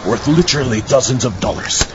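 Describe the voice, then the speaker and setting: A man's voice: medium pitch, expressive, fairly quick, lightly acted. Man playing a sly, swooping captain. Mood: bossy, sly. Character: sly, scurvy old pirate captain, gleefully lying. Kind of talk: comic audio drama. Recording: dull lo-fi audio with clear noise.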